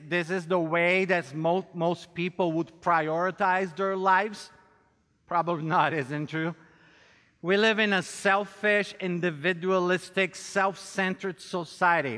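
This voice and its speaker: A middle-aged male pastor with a Brazilian accent, native in Portuguese, gives a conference sermon. His voice is bright and fairly high for a man, and he speaks straight to his listeners with self-deprecating humour. The sound carries the long reverb of a hall. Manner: joking, self-deprecating, addresses listeners directly. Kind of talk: conference sermon with audience interaction.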